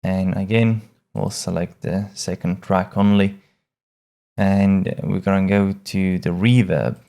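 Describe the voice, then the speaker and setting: A man presenting a casual software tutorial in a hesitant, halting way, often repeating words as he explains each step. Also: low voice, steady tone, creaky.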